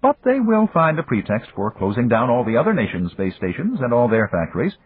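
A man voicing a burly older Japanese business magnate who speaks in a formal, measured way. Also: medium pitch, wildly swooping pitch, voice pushed up. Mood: grave. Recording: dry, phone-like, husky.

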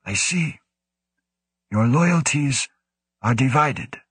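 A man's voice: mid-range voice, expressive, slow, slightly rough, no room sound, pitched above the performer's own voice. A man voicing a stern, formal male station director who speaks in clipped, commanding sentences. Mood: measured.

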